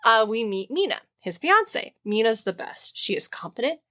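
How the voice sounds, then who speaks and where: Bright, high-voiced woman chatting. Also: moderate pace. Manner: animated, sweary, emphatic. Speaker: podcast host. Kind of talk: casual comic storytelling chat.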